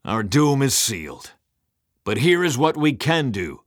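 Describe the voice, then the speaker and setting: A man's voice: medium pitch, very animated, measured pace, no room sound, studio quality, voice pushed up. A man voicing a proud, vengeful devil who commands demons in grandiose, bellowed speeches for a dramatic story. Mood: grim, vengeful.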